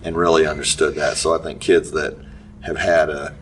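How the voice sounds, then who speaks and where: A middle-aged man, a basketball coach giving a halting post-game interview full of restarts and fillers. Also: extremely low pitch, level tone, a bit bright. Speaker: high school basketball coach. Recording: distant mic with faint background noise.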